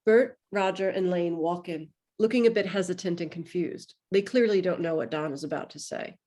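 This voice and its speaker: A woman's voice: on the low side, normal speed, warm tone. A woman giving scripted narration, reading stage directions for a table read.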